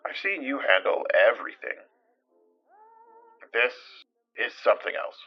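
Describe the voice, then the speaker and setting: Muffled audio with music underneath carries a deadpan, serious woman in an audio drama. Her voice is extremely low and husky, her delivery terse and probing. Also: measured pace, full character voice. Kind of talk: naturalistic audio drama dialogue.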